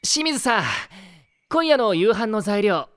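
A man playing a gentle, polite, concerned schoolteacher in an anime audio drama, speaking earnestly and reassuringly. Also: high-pitched, very animated, measured pace, noisy background.

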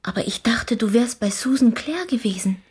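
Eine Schauspielerin spielt in einem Hörspiel ein höfliches, neugieriges, etwas naives jugendliches Mädchen, das sanft fragt. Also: female voice, fairly high pitch, expressive, moderate pace, breathy edge. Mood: anxious. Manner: polite, questioning, soft. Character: polite, curious, naive teenage girl. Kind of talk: German radio play acting.

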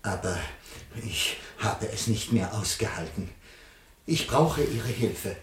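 An actor playing a pedantic, earnest middle-aged ethics teacher with formal, stilted diction in a radio crime play. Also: male voice, fairly low pitch, animated, takes it slowly, breathy edge, heavily acted. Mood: pleading. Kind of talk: 1980s German radio crime play acting.

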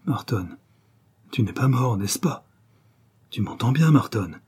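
A man voicing a remorseful young male convict who pleads in a hushed, broken murmur. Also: mid-range voice, expressive, slow pace, slightly rough, full character voice. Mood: pleading.

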